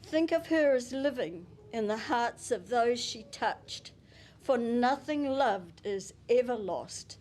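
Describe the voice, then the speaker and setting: A woman with a New Zealand accent giving a eulogy at a memorial service, reading a prepared tribute and a poem gently into a handheld microphone. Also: on the high side, lively intonation. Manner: gentle and unhurried, with soft chuckles. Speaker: family member giving a eulogy.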